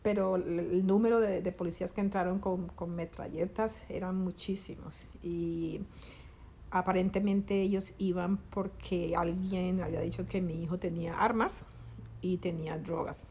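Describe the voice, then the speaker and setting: A middle-aged woman from Colombia speaks with a gravelly, creaky edge to her voice. She talks haltingly, restarting phrases as she hunts for words. A faint hiss of noise sits under the recording. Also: fairly low pitch, fairly even intonation, mellow. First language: Spanish. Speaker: interviewee, grieving mother. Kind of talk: conversational interview.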